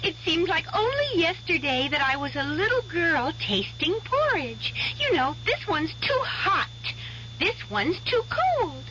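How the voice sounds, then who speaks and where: An actress playing a grown-up Goldilocks in an old radio commercial, bubbly and sing-song. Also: female voice, very high voice, big pitch swings, unhurried, pushed and bright, full character voice. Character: grown-up Goldilocks, perky adult woman. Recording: dull old radio broadcast with hiss.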